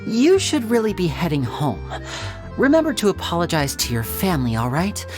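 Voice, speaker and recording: A man voicing a smooth, playful young stage magician in a video game, theatrical and teasing, over game music. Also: high voice, wildly swooping pitch, normal speed. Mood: coaxing.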